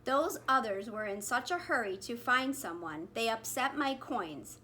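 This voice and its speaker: A crabby storybook lobster who hoards treasure, played by a woman reading aloud. The delivery is snarling and grumbly, with a forceful edge and animated pitch. Faint noise sits behind it. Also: a little slow. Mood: grumpy, snarling. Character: grumpy, miserly male lobster guarding his treasure. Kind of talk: children's storybook read-aloud.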